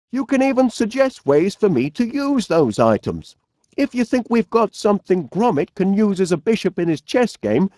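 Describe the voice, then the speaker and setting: A man's voice: high voice, darkish tone, no echo, clean studio sound. A man voicing a cheerful, fussy middle-aged inventor with a Northern English accent in a video game, genial and bumbling. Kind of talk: animated video game character voice lines.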